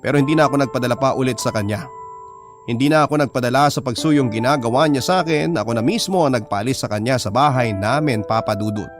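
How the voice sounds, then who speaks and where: A man's voice: mid-range voice, quick pace. A man with a Filipino accent narrating a personal drama story in the first person, as a storyteller reading a letter. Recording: music bed under the voice. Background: prominent background music.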